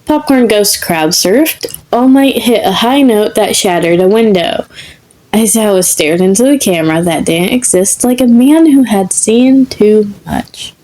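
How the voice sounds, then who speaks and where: A woman reading comic fan fiction as scripted narration, in a measured, deliberate manner. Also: medium pitch, warm tone, rough recording. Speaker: podfic reader.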